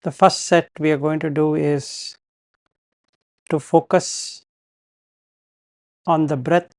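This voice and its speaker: A man guiding a meditation as a teacher, giving calm, measured instructions in short phrases with pauses between them. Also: fairly high pitch, vocal fry, no room sound, clean studio sound.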